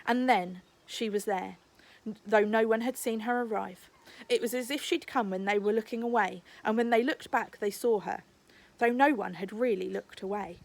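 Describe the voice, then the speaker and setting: A woman's voice: medium pitch, normal speed, gravelly. A woman narrating a children's storybook aloud, like a teacher reading to a class.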